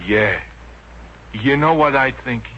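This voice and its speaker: An actor playing a gullible, eager American cop who repeats himself when flustered, in a 1950s radio comedy. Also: male voice, mid-range voice, slow pace, sharp tone. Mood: alarmed. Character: gullible, eager, dim-witted city cop, would-be detective. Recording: muffled, hissy old radio recording.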